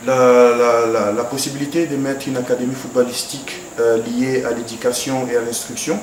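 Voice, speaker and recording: A man's voice: mid-range voice, flat delivery, moderate pace. A man, a former football player, giving a formal, hesitant address to a governor, with many fillers and restarts. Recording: echoey room, background noise.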